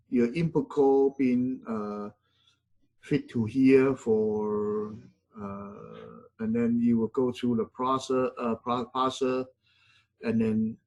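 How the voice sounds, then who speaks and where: Middle-aged male professor, husky, medium pitch, hesitant with lots of "uh". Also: a little mellow, low-quality recording. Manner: halting, many fillers and self-corrections. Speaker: university lecturer. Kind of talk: classroom lecture.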